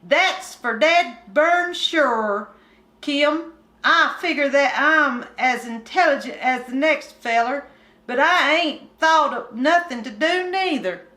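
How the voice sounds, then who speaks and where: A woman voicing an eager young mountain boy full of schemes in a storybook, with a Southern drawl and excited backwoods talk. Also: sits high, takes it slowly, pitched above the performer's own voice, exaggerated voice. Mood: agreeable. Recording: echoey room.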